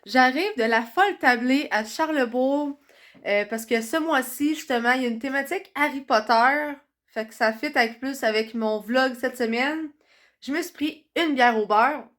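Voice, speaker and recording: A young woman with a Quebec French accent, chatting excitedly in a casual vlog while congested from a cold. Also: sits high. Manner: chatty and excitable, many fillers. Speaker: vlogger.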